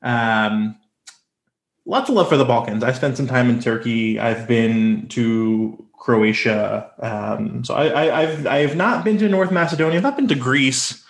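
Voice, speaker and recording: A man's voice: mid-range voice, measured pace, vocal fry. A man hosting a podcast, asking questions in a chatty way with playful asides. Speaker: podcast host. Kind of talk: casual interview. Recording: echoey room.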